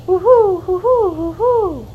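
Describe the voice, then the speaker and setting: A woman voicing a storybook father who hoots like an owl in drawn-out calls. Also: extremely high pitch, narrow, tinny audio, slight background noise, pitched above the performer's own voice. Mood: calling. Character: father hooting like an owl to call one.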